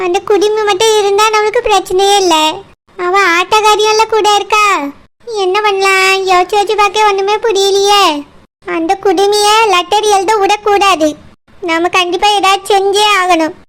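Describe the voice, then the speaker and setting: A woman's voice: very high-pitched, little pitch movement, moderate pace, a bit bright. A woman voicing a scheming, threatening old villain woman in a cartoon, with a Kanyakumari Tamil accent. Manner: plotting aloud to herself, menacing. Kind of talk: cartoon voice acting.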